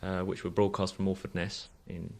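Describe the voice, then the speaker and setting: A man's voice: deep voice, little pitch movement, normal speed, gravelly, a little mellow. A man speaking as an engineer in an interview, with careful, slightly hesitant answers. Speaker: engineer guest.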